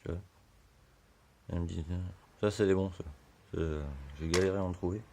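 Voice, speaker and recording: A hoarse man giving a relaxed, slangy how-to explanation full of fillers, in a home garage. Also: low-pitched, normal speed, a little hiss or hum. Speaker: motorbike hobbyist.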